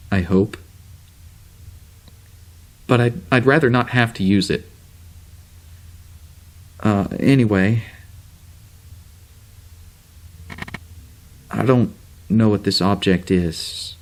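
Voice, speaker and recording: An actor playing a troubled starship officer with amnesia, halting and rambling as he records a log in a naturalistic audio drama. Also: on the low side. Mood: worried, reluctant. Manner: halting, rambling, self-interrupting.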